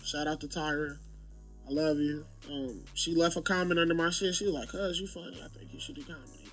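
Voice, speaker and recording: A man's voice: fairly high pitch, steady tone, brisk, a bit bright, faint music behind. A man, a comedian telling stories in a laid-back, slangy, joking way. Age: late 20s. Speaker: stand-up comedian guest. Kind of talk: casual interview chat.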